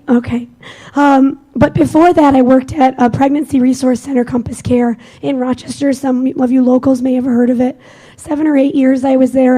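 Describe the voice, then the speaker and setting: A young woman with a squeaky voice giving an energetic, conversational talk to a live audience at a conference. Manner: energetic, conversational, self-deprecating. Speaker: conference speaker.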